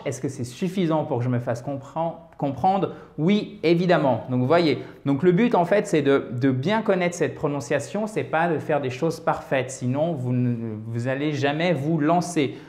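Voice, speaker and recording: A man from France giving an online English lesson, explaining step by step with examples and checking in often with quick "ok?" and "d'accord?" asides. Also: mid-range voice, darkish tone, reverberant. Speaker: English teacher.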